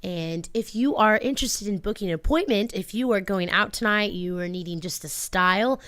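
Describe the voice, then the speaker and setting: A woman's voice: mid-range voice, moderate pace, a bit bright, dry recording. A woman co-hosting a radio show in a casual, chatty style, close to a studio microphone. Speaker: radio show co-host and hairstylist.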